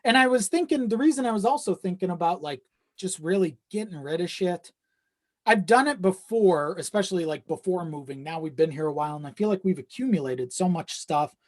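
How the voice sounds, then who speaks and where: A man's voice: high voice, expressive, fairly quick. A man chatting casually as a podcast guest, often checking in with the listener as he goes. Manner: conversational, frequent you-know check-ins.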